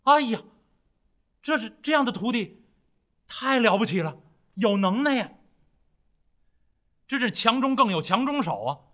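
A man's voice: a little high, big pitch swings, moderate pace, pauses often, fairly bright tone, pitched below the performer's own voice, full character voice. A man voicing a timid, easily startled monk master in an oral tale, solemn and fretful. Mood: amazed.

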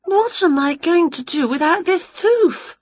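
A woman voicing an excitable, dramatic female witch in a storybook, with a shrill, theatrical manner, in a dull, lo-fi recording. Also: measured pace, dry recording. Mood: wailing. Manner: shrill, theatrical, over-the-top. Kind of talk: storybook character voice.

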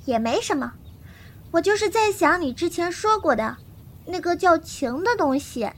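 A woman's voice: very high-pitched, very animated, normal speed. A woman playing a naive, blunt and devoted young martial arts girl raised by wolves, speaking simply and earnestly in a Chinese period audio drama. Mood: pensive, earnest. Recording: voice over prominent background music.

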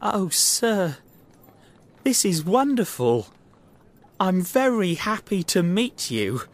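A man voicing a weak, nervous, excitable little man in an acted storybook, sounding timid and agitated. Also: high-pitched, slow, voice pushed up. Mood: excited.

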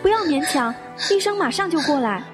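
A woman playing a gentle, reassuring hospital nurse in an audio drama. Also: high-pitched, expressive, brisk, over music. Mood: soothing. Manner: gentle, coaxing. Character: young female hospital nurse, soothing and reassuring.

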